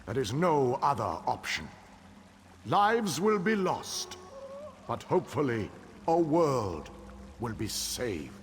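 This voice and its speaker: An actor playing a noble, solemn king who rallies his allies in measured, formal speech in a fantasy game. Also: medium pitch, very animated, slight background noise. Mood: grave, rousing. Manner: stately, measured, formal oratory. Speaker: war leader king. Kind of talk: dramatic fantasy game dialogue. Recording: long hall reverb.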